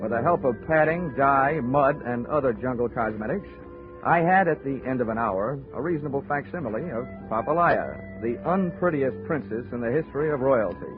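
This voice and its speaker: A man's voice: mid-range voice, expressive, normal speed, lightly acted. An actor playing a wry, wisecracking insurance investigator who narrates his own case in a 1940s radio drama. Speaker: narrator and lead detective. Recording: tinny 1940s radio broadcast with music.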